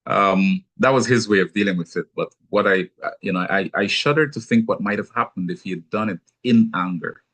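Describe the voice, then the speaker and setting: A man with a Guyanese accent, giving a measured, explanatory teaching talk with touches of gentle humor. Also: low-pitched. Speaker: speaker on anger management.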